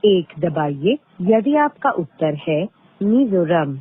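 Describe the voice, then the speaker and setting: A woman reading scripted automated phone prompts in a formal, measured way with deliberate pauses, heard over a recorded phone call. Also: fairly low pitch, big pitch swings, breathy edge. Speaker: automated phone line announcer. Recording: tinny band-limited phone line recording.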